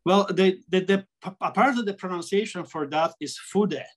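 Polish-accented man, halting and thoughtful. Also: high-pitched. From Poland. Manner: halting, many restarts and fillers, thoughtful. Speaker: interview guest, architect and sketcher. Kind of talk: video call interview. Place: small room at home, framed pictures on wall. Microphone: laptop webcam on video call.

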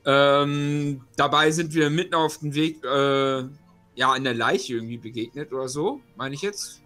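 A man's voice: on the high side, a little slow, sharp tone, faint music behind. A man chatting casually and informally as a player in a tabletop role-playing game. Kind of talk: casual, informal chat and recap.

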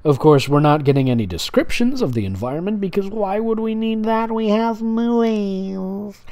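A man's voice: high voice, animated, normal speed, darkish tone. A man doing a casual comedic read-aloud, breaking off into sarcastic, mocking asides as host. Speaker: host reading and mocking fan fiction.

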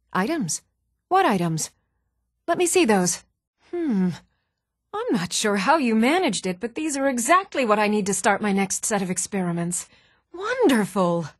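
An actress playing an earnest, even-toned woman in a fantasy video game. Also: mid-range voice, no echo, clean studio sound. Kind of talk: video game character dialogue.

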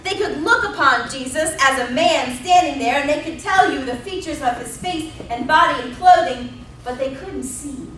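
A woman's voice: high voice, normal speed, few pauses, fairly bright tone, distant mic, a little hiss or hum. A woman in her thirties preaching a sermon as pastor, telling the story with emphatic repetition. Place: church sanctuary. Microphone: pulpit mic at a distance. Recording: roomy church sound from off-mic.

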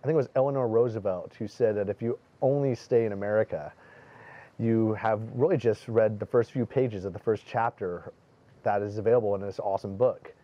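A middle-aged male host talks quickly in a lowish voice, eagerly trading stories and questions. The recording is somewhat dull and lo-fi, made outdoors on a garden terrace. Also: mellow. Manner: talkative, tells anecdotes, enthusiastic. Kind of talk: casual interview chat.

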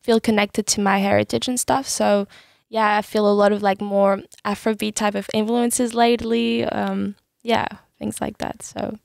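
A woman's voice: medium pitch, little pitch movement, moderate pace, gravelly, a little mellow, no room sound, studio quality. A young woman from Switzerland with a Swiss French accent, chatting as a guest on a podcast, laughing often and trailing off with "yeah". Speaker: guest singer-songwriter. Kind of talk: casual podcast chat.

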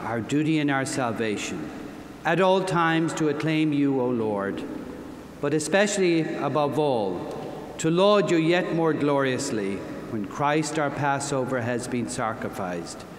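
An older man presiding at Mass, intoning liturgical prayers in a solemn, measured way into an altar microphone in a large convention hall. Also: medium pitch, expressive. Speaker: presiding bishop. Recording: echoey hall, faint noise.

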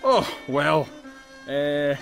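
A man's voice: on the high side, expressive, very slow, creaky, a bit bright, soft background music, exaggerated voice. An actor playing a cheeky, flirtatious Scottish man in an audio drama, slyly jovial. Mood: cheeky, evasive. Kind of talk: full-cast audio drama acting.

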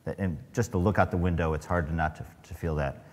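A man with a very low voice, thinking aloud as an astronaut panelist. He restarts his sentences often and gropes for words. His voice echoes in a large hall with a long reverb. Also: steady tone, fast. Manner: reflective, many fillers and restarts. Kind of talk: panel talk. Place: large event hall, on stage.

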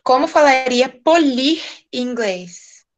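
A woman's voice: sits high, expressive, takes it slowly, muffled recording. A young female student with a Brazilian accent, casually asking and answering in an online class. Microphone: video call.

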